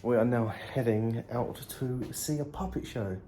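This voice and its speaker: A man's voice: medium pitch, moderate pace, dark tone, a little hiss or hum. A man, chatting and narrating casually as a travel vlogger. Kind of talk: casual vlog narration and chat. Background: music.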